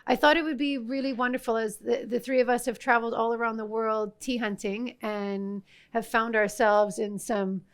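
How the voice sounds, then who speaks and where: A woman's voice: a little high, steady tone, moderate pace, few pauses. A woman hosting a live tea festival panel, introducing her guests with frequent hesitations. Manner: hesitant, many uhs, welcoming. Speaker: host. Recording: live festival stage talk.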